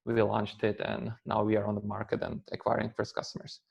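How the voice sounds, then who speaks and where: Gravelly low-voiced man. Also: monotone, darkish tone, dull audio. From Slovakia. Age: 30s. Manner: halting, with many fillers and restarts. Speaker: guest. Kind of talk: conversational interview.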